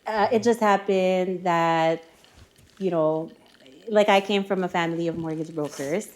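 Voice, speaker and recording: A woman's voice: lowish voice, a little slow, darkish tone. A middle-aged woman from the Philippines with a Filipino accent, chatting as a mortgage broker guest and switching between English and Tagalog. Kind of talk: casual podcast chat.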